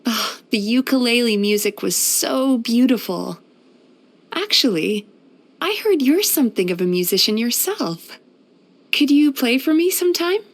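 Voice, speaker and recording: A woman playing a gentle, caring female psychologist in a video game, speaking in a soothing, thoughtful, measured and softly playful manner. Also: on the high side, animated. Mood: warm, inviting. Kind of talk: scripted video game character voice lines.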